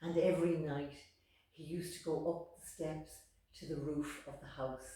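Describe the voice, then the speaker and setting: A woman with an Irish accent telling a folk tale in deliberate, dramatic short phrases. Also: low-pitched, little pitch movement, dark tone. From Ireland. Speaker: storyteller. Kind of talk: oral storytelling of a folk tale. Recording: very echoey room, distant microphone.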